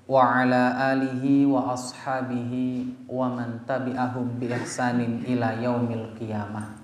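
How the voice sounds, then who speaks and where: Slow-paced Javanese-accented male preacher, echoey. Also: mid-range voice, a little mellow. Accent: Javanese Indonesian. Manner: addresses listeners directly, with rhetorical questions. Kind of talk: religious sermon to a gathering. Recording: echoey space, faint background noise.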